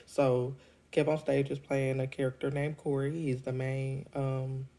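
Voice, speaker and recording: A deep-voiced woman, congested from a cold, chats through a review into a phone held at arm's length. Her tone is dark and mellow, and she talks loosely with plenty of ums and quick laughs. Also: level tone. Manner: chatty, laughs often, many ums. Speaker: show reviewer. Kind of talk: casual vlog review. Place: indoors at home.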